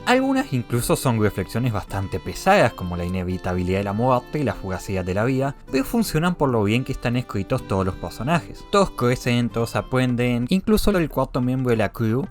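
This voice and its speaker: A young man from Argentina with a speech impediment that drops one sound, narrating a scripted video essay. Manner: lively, expressive delivery with wide pitch swings. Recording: faint music underneath.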